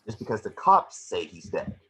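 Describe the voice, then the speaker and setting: A man playing a small, cocky, wisecracking mouse detective in a table read, with a rough-edged husky voice. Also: on the low side, very animated, crowd noise. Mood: insistent. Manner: fast-talking wisecracking patter. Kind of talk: animated script table read.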